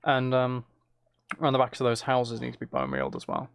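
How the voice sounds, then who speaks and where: A man's voice: mid-range voice, very animated, fairly quick, gravelly, smooth, dry recording, clean studio sound. A man giving casual gameplay commentary, thinking aloud in short, muttered phrases as he plays. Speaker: streamer playing a video game.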